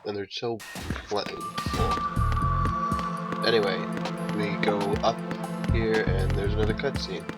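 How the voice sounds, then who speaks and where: Flat-toned man commenting over loud music. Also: lowish voice. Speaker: let's play host. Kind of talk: casual gameplay commentary. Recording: distant mic under loud game music.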